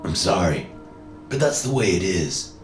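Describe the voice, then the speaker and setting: A man's voice: sits low, big pitch swings, normal speed, echoey room, music in the background. A man doing a tearful, controlling father. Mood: stern. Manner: raw, strained, pleading. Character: tearful middle-aged father, fearful and controlling.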